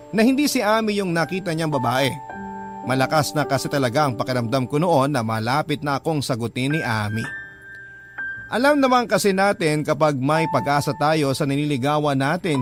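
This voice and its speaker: A man's voice: on the high side, natural voice. An actor playing a hopeful, lovestruck young man narrating his courtship in a Filipino radio drama, confiding and reflective, with a Filipino accent. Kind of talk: scripted radio narration of a letter.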